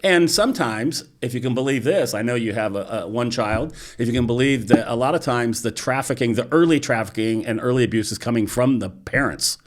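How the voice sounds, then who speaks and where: A middle-aged man, a nonprofit director, explaining in a measured, serious way as a podcast guest in a studio. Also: mid-range voice. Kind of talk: interview. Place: studio with green curtain. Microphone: studio mic on boom arm.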